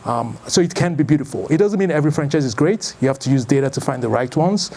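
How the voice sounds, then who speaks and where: A man in his thirties with a Nigerian accent, giving an informal, conversational talk as a founder introducing an event, in a loose, halting way. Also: medium pitch, animated, creaky, darkish tone. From Lagos, Nigeria. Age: 30s. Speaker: startup founder and event host.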